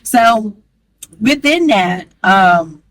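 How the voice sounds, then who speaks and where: A woman talking fast and candidly to the camera, chatty and frank, with a strong voice. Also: medium pitch, animated, no room sound. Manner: chatty, candid, calls listener girl; fast talker. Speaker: vlogger. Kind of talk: casual talk to camera.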